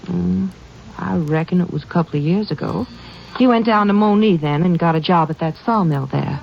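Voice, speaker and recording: A woman's voice: fairly low pitch, normal speed, creaky. A gossipy young country woman who chats along in a folksy way. She comes through a dull old radio recording. A faint hiss sits behind her.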